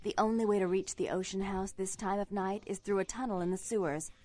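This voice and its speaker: An actress playing a cool, formal, condescending vampire businesswoman in a video game, with measured delivery. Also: medium pitch, steady tone, warm tone, natural voice. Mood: brisk, businesslike.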